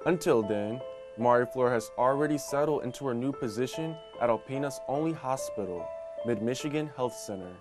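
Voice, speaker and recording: News guy doing a voiceover, measured and dramatic, music clearly under it. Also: medium pitch. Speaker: TV news reporter. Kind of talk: scripted news narration.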